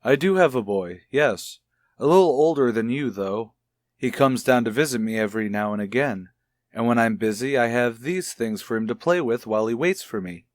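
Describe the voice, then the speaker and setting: An actor playing a kindly child psychologist in a mid-century radio soap opera, speaking in a measured, coaxing way. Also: male voice, medium pitch, lively intonation, no room sound, clean studio sound, lightly acted. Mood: genial. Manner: measured, coaxing, gently probing. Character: kindly, probing male child psychologist, a father. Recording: vintage radio recording with music.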